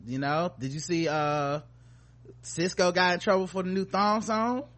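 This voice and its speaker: A man's voice: sits high, steady tone, measured pace, fairly bright tone. A man hosting a podcast with casual comedic commentary, joking in slangy, profane language. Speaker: podcast host.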